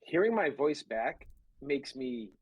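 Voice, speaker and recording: A man's voice: medium pitch, big pitch swings, takes it slowly, slightly rough, highs cut off. A young man with a thick Boston accent, chatting casually as a podcast co-host, joking at his own expense and laughing often. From Boston area, USA. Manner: self-deprecating, laughs often, swears casually. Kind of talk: casual chat.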